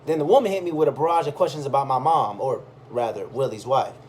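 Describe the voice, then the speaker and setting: A man reading a first-person story aloud in a conversational, expressive way. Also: medium pitch, quick pace. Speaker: reader of a personal chronicle. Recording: clearly audible background noise.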